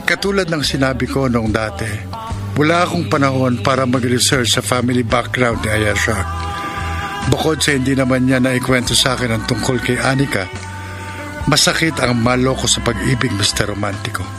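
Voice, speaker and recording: A man's voice: mid-range voice, normal speed, over music, lightly acted. A man playing a betrayed, heartbroken young man. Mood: grieving. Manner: emotional, wounded, accusing. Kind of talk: broadcast radio drama acting.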